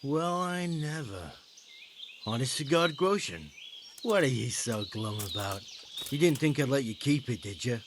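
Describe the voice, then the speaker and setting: A man's voice: mid-range voice, animated, unhurried, slight background noise, natural voice. Man playing a sardonic medieval bandit recruiter. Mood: mocking. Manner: sardonic, brusque, needling. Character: gruff, mocking male bandit recruiter in medieval Bohemia. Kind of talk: video game cutscene acting.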